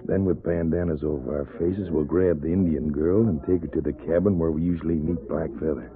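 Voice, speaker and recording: An actor playing a scheming, cold-blooded outlaw boss in a radio western, with a folksy, commanding way of talking. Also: male voice, extremely low pitch, steady tone, fairly quick. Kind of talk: old-time radio western acting. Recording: muffled, lo-fi vintage radio recording.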